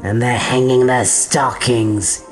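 A woman's voice: a bass voice, steady tone, takes it slowly, soft background music, pitched below the performer's own voice. A woman voicing a grumpy, scheming old male storybook creature, sly and sneering.

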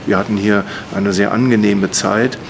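A middle-aged man with a creaky, gravelly voice delivers a political statement to camera. He builds his sentences in measured, hesitant chunks over clearly audible rumbling background noise. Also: fairly even intonation. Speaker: member of parliament. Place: indoors, in front of banner wall.

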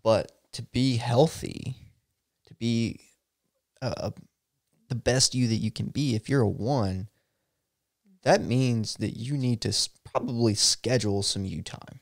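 A young man co-hosting a podcast in casual chat, animated and quick to laugh, often tagging "right?" on his points. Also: mid-range voice, dry recording, studio quality. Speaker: podcast co-host. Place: small home studio room. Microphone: boom-arm podcast mic.

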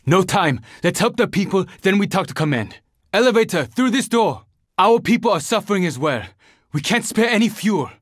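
A young survivor in a zombie shooter game. His voice sits high for a male and swings widely in pitch. The delivery is terse, earnest and determined, recorded very dry. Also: big pitch swings, moderate pace, dry recording. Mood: focused, pressed. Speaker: video game character. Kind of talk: video game voice lines, dramatic combat barks.

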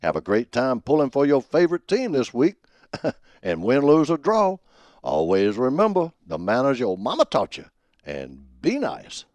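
A man performing as a folksy, pompous old football professor, giving grandiloquent scripted comic radio commentary in a husky voice. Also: mid-range voice, huge pitch swings, normal speed, darkish tone, no room sound. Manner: folksy, grandiloquent alliterative delivery. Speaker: host of a college football prediction show.

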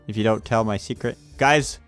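A man hosting a gaming video with casual, excitable banter and playful role-play exclamations. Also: lowish voice, moderate pace, soft background music.

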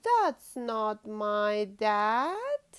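A woman voicing an eager young female polar bear in a storybook, exclaiming with drawn-out words. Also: mid-range voice, very animated, clean tone. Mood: emphatic. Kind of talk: expressive picture-book read-aloud.

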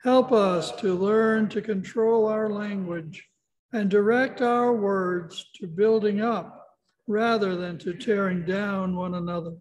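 An older man in his sixties speaks as a pastor leading a service. His voice is high for a man, and he goes slowly through prayers and invitations in solemn, measured phrases. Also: fairly even intonation, rough recording. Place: church sanctuary.

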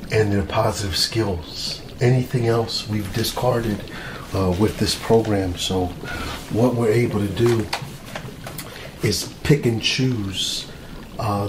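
A man playing an eager, self-important mad scientist doctor in an ASMR roleplay, musing aloud in halting short phrases. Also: on the low side. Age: middle-aged. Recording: roomy, distant mic with loud noise.

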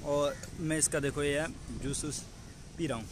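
A man's voice: fairly high pitch, steady tone, moderate pace. A young man in his twenties talking casually to viewers in a travel vlog, filmed on a phone held at arm's length outdoors on a beach. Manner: chatty, addresses viewers as friends. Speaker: vlogger. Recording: loud outdoor noise.

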